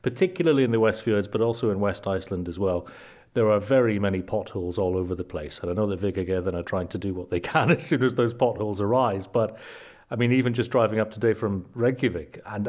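A man hosting an interview podcast, asking friendly, unhurried questions and often restarting his sentences. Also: fairly low pitch, a little mellow. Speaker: podcast host. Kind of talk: conversational interview.

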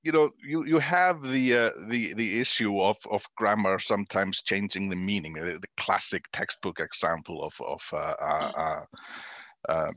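An Icelandic man in his fifties, native in Icelandic, thinking aloud haltingly in a low voice as a guest in a dry-sounding radio studio. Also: a bit bright, no room sound. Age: 50s. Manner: halting, often repeating words. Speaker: interview guest, university dean. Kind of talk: conversational radio discussion.

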